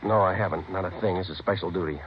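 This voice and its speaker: A man playing a terse, clipped undercover narcotics detective in a vintage radio crime drama, deadpan and matter-of-fact. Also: low-pitched, fast talker, a little hiss or hum, lightly acted. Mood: urgent. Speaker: lead actor and narrator. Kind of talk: 1950s radio crime drama acting and narration. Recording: muffled vintage radio recording, music behind.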